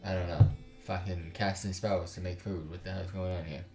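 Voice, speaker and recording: A man with a fairly low voice hosts a casual let's play over background music. He reads story text aloud haltingly and breaks off into exasperated, slang-filled commentary. The room can be clearly heard around his voice. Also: flat delivery, a little mellow. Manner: exasperated, informal slang, swearing; halting, stumbling reading. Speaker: gaming YouTuber, let's play host. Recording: roomy sound with music underneath.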